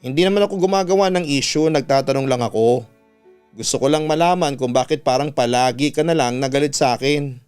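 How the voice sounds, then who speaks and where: A man's voice: medium pitch, brisk, a little mellow, clean tone, soft background music, close to an everyday voice. Man voicing a plaintive young Filipino little sister. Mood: sad, questioning. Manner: earnest, plaintive. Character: gentle, pleading, hurt younger sister.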